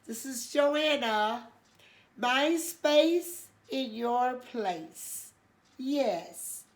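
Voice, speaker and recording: An older woman speaks slowly and rambles through a casual chat, full of pauses and fillers. Her voice is congested and nasal, with a gravelly fry underneath. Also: high voice. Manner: slow, rambling with many fillers. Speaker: storytelling channel host.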